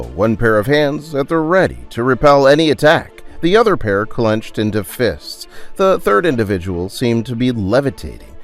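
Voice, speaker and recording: A man narrates a dramatic audio story with a very lively, varied pitch. His scripted storytelling plays over a music bed. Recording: music under the voice.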